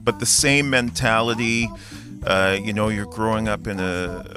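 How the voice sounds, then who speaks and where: A man from Guyana on a radio panel, talking about music in a relaxed, reflective way. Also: lowish voice, a little slow. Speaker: guest music critic.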